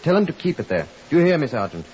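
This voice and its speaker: An actor playing a stern, impatient police superintendent with a curt, commanding manner in a 1950s radio drama, also narrating. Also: male voice, on the high side, big pitch swings, very fast, a little mellow. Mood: exasperated. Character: stern, impatient male Scotland Yard superintendent. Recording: muffled, hissy 1950s radio recording.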